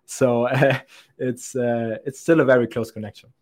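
A man's voice: medium pitch, steady tone, normal speed. A man in his twenties, a sales account executive, answering podcast interview questions in a rambling, thoughtful way, often restarting his sentences. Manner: rambling and thoughtful, frequent restarts and soft laughs.

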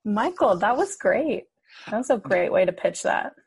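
A young woman co-hosting a podcast, chatting casually and asking slow, measured questions. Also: mid-range voice, big pitch swings, vocal fry, slight background noise. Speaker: podcast co-host. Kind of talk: casual chat.

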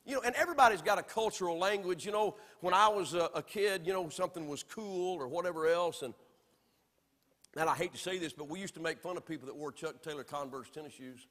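A man from Alabama with a Southern accent, preaching a sermon from the pulpit with emphatic swings between loud and soft. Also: on the high side, fast, in a large hall. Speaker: pastor. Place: church sanctuary.

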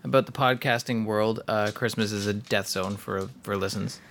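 A man's voice: lowish voice, fairly even intonation, normal speed, fairly bright tone. A man hosting a casual podcast, talking loosely with lots of fillers and teasing jokes. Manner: chatty, many likes and fillers, teasing. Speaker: podcast host.

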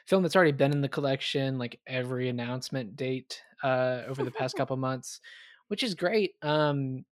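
A man co-hosting a film podcast in an easygoing, enthusiastic way, often pausing on an um. His voice sits at a middle pitch. The recording is dry and close to the mic. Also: no echo. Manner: enthusiastic, relaxed, with frequent ums. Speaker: podcast co-host. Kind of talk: casual film podcast chat.

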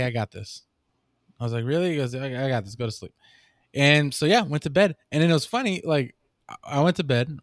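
Young new dad, podcast host, mid-pitched, rambling and animated in his telling, close and dry mic. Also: dry recording. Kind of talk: casual chat.

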